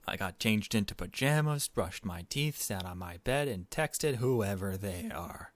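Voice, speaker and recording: A man's voice: fairly low pitch, animated, measured pace, no room sound. A man reading a visual novel aloud as a gaming video host, dropping in casual asides of his own. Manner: casual self-commentary between read passages.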